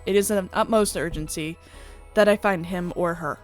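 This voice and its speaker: A woman's voice: lowish voice, normal speed, lightly acted. A woman voicing a courteous, earnest female AI pop idol in a visual novel, with prim, formal phrasing. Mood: troubled, earnest. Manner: prim, courteous, stilted wording. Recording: music playing behind the voice.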